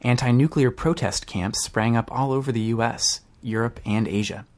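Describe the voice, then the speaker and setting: A man's voice: medium pitch, moderate pace. A man reading scripted documentary-style narration for a podcast. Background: music.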